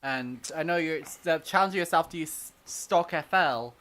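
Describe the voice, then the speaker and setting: A woman giving measured, practical feedback on drums and plugins during a live stream chat. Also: sits low, fairly bright tone.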